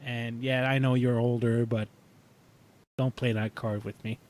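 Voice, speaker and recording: A man in his thirties hosting a casual fan discussion, talking in a halting, rambling way as he recaps. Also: mid-range voice, flat delivery, a little mellow. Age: 30s. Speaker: podcast host.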